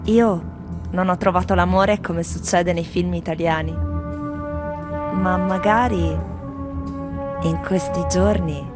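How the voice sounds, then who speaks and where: An actress playing wry, plaintive tourists in a theatrical radio reading. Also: female voice, on the low side, steady tone, slow, long pauses. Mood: disappointed. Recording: music behind the voice. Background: music.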